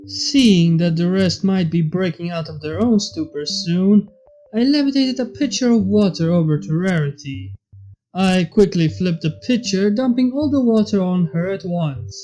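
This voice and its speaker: A man's voice: sits high, expressive, moderate pace, warm tone, low-quality recording. A man reading fan fiction aloud as scripted story narration.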